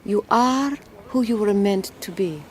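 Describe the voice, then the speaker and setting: A woman playing a composed, probing spiritualist fortune teller in an audio drama, speaking in a deliberate, knowing manner. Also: mid-range voice, a little mellow, slight background noise, natural voice.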